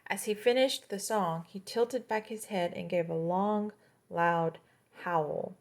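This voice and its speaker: A woman's voice: mid-range voice, takes it slowly. A woman storyteller reading a children's story aloud, with explanatory asides to young listeners.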